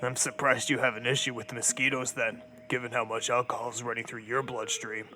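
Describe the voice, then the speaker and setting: A man playing a sarcastic, morose undead sidekick with dry, deadpan muttering in a comedic audio drama. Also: mid-range voice, a little fast.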